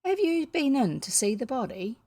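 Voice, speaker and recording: A reader playing a blunt, husky country housekeeper woman. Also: on the high side, big pitch swings, normal speed, mellow, dry recording, voice pushed up. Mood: brisk, blunt. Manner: folksy, matter-of-fact, scolding. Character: blunt, self-righteous rural housekeeper woman in a storybook.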